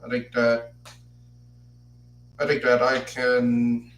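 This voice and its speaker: A man's voice: medium pitch, monotone, slow, distant mic. A man in his twenties hosting a solo podcast, who stutters and repeats words as he partly reads from a script. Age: 20s. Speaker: podcast host. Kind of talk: solo podcast talk, partly read aloud. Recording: echoey room, voice off-mic, faint noise.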